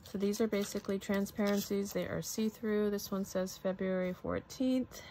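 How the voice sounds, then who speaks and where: A woman's voice: medium pitch, fairly even intonation, normal speed, mellow, a little hiss or hum. A woman giving casual unboxing commentary at a craft table, chatty and musing aloud to herself. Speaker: crafter showing a product haul.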